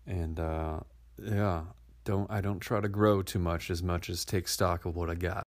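Deep-voiced man, slow and reflective. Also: steady tone. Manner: slow, thoughtful, folksy, with pauses and uhs. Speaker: contributor sharing reflections. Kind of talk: reflective monologue.

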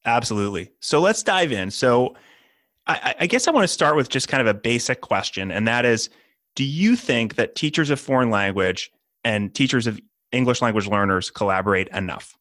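A man's voice: mid-range voice, lively intonation, normal speed, fairly bright tone, no echo, clean studio sound. A man hosting an education podcast, a former Spanish teacher, talking in a friendly, hesitant way with frequent restarts. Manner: friendly, hesitant, repeats words when starting sentences. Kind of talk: conversational podcast interview.

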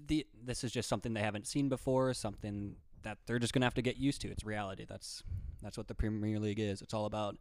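A man's voice: on the low side, fairly even intonation, fast talker, hardly any pauses, no echo. A man co-hosting a casual sports podcast, explaining his views confidently with fillers like "right" and "like". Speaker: podcast co-host.

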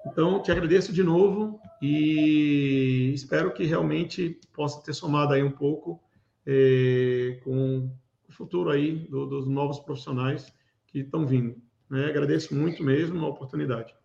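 A man in his forties from Pernambuco, Brazil, a guest speaking in a casual interview, giving thoughtful advice with many fillers and pauses. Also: a little high, steady tone, gravelly, warm tone. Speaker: guest, security event organizer. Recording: roomy, dull lo-fi sound.

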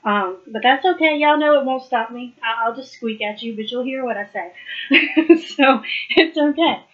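A woman hosting a live Bible study in a casual, chatty way, a bit hoarse and losing her voice, laughing often. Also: on the high side, moderate pace, muffled recording. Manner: chatty, laughs often, warm southern "y'all" address.

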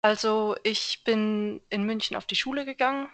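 A young woman from Germany, a civil engineer answering interview questions in a relaxed, thoughtful way with many small fillers. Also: mid-range voice, moderate pace. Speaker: podcast guest, civil engineer.

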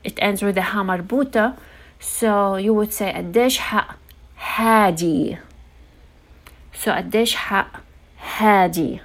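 A woman hosting a casual language lesson podcast, teaching everyday phrases and saying them slowly for listeners to repeat after her. Her first language is English. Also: mid-range voice.

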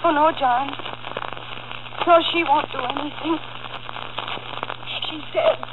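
A woman's voice: sits high, fairly even intonation, slow pace. An actress playing a frantic, frightened woman in an old radio drama, breathless and overwrought, repeating short phrases. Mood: frightened pleading. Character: terrified, frantic woman on the run from police. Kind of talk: 1940s radio drama acting. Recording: scratchy, crackling, tinny old radio recording.

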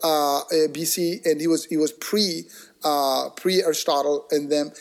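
Animated, rambling man telling stories. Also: a little high, unhurried. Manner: animated, discursive, many restarts and acted-out quotes. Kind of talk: casual conversational storytelling.

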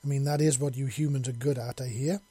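An imperious bird-woman mistress from an audiobook tale, performed by a man. She speaks formally and precisely, curious yet used to giving orders. The voice is a little rough and mellow, recorded very dry. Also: medium pitch, steady tone, quick pace, dry recording, close to an everyday voice. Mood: commanding. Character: imperious, curious female bird-creature mistress, commanding and innocent.

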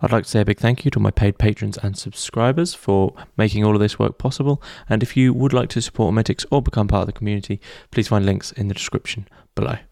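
A man hosting a podcast interview in a casual way, often pausing with fillers and restarting his sentences. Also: lowish voice, vocal fry, darkish tone, no echo, studio quality. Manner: hesitant, many fillers and restarts. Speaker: podcast host.

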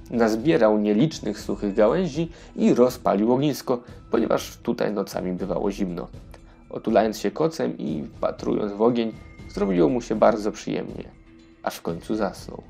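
A man's voice: lowish voice, creaky, a little mellow. A man narrating a scripted fairy tale for children as the storyteller of an audio play.

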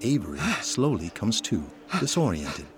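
A man's voice: on the low side, very animated, measured pace, slight background noise. A man reading scripted dramatic narration for an audio drama.

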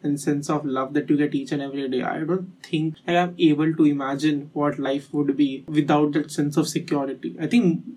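A young man in a casual discussion who reflects out loud, weighing each point and filling pauses with "like". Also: a little high, fairly even intonation. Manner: reflective, thinks aloud with many "like" fillers. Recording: voice a bit distant from the mic.

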